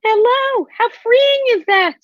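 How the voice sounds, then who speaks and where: An older woman from Israel, telling her personal story as an interview guest, phrasing things deliberately and emphatically. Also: very high voice, no echo, dull audio. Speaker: guest, spiritual counselor.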